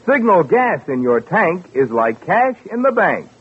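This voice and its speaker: A man reads a commercial on a muffled old radio recording. His voice is fairly high and hazy, with animated, folksy salesman delivery in 1940s style. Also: unhurried, slightly rough. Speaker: commercial announcer.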